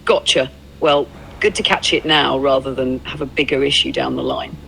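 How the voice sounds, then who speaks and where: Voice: mid-range voice, fairly even intonation, moderate pace, vocal fry, sharp tone, slight background noise, poor audio. An androgynous synthetic voice in the role of a travel companion, telling stories and replying in a fluent, agreeable, reflective conversational style. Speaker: AI companion playing a road-trip documentarian. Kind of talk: conversational roleplay storytelling.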